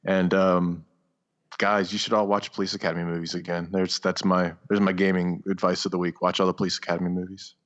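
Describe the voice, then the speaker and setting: A man's voice: low voice, little pitch movement, fairly quick, dull audio. A man co-hosting a podcast, chatting casually in a hesitant, offhand, dryly self-deprecating way. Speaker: podcast co-host. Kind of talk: casual chat.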